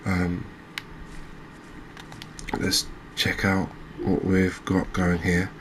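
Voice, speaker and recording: A soft-spoken man giving relaxed, unhurried ASMR commentary on a video game, speaking close to the mic. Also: sits low, low-quality recording. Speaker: gaming video creator. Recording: clear background noise, ambience.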